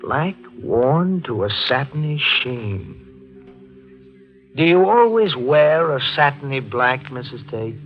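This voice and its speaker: An actor playing a probing, no-nonsense district attorney in a 1940s radio drama, with a brisk, pointed manner. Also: male voice, mid-range voice, expressive, muffled recording. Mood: pointed, insinuating. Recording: band-limited 1940s radio recording with music.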